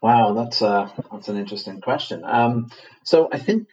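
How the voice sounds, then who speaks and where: A man with a Manchester accent talks through his answers in a measured way, often pausing on fillers and restarting his sentences. His voice sits low and has a husky edge. The audio is dull and lo-fi. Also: expressive, a little mellow. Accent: Manchester English. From England. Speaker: guest, company CEO. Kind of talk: podcast interview.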